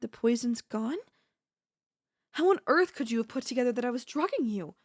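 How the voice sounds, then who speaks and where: A woman's voice: fairly high pitch, lively intonation, normal speed. A woman playing an obsessive, scheming yandere in a theatrical, haughty manner with formal phrasing, in an ASMR audio roleplay. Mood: frustrated, incredulous.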